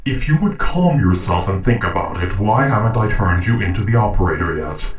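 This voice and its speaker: A man playing a calm, logical sentient robot in an audio roleplay, speaking in formal, measured, precise sentences. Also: on the low side, reverberant, far from the mic, lo-fi sound, natural voice. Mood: calm, reassuring. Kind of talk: dramatic audio roleplay acting.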